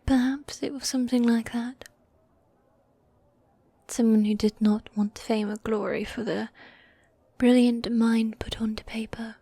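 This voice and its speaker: A woman voicing a teasing, tender demon girlfriend in a medieval fantasy ASMR roleplay, speaking in soft breathy whispers with formal, old-fashioned phrasing. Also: a little high, dry recording. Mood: thoughtful, gentle. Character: gothic demon girlfriend, teasing, tender, dominant yet needy.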